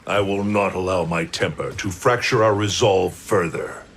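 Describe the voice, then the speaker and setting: An actor playing a stern, solemn god in a video game cutscene, speaking in a measured way. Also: male voice, deep voice, gravelly, a bit bright, a little hiss or hum. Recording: game audio with faint score.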